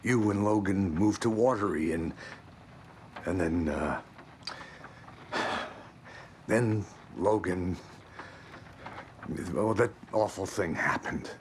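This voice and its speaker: A man's voice: sits low, slow pace, pauses often. An actor playing a weary, hard-boiled male agent in a video game, grave and wary in delivery. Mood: hesitant, somber. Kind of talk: video game cutscene acting. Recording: light ambient background noise.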